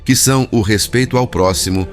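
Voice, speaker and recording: A Brazilian man reading a spiritist book as scripted narration, in a formal, measured manner. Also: medium pitch. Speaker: audiobook narrator. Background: background music.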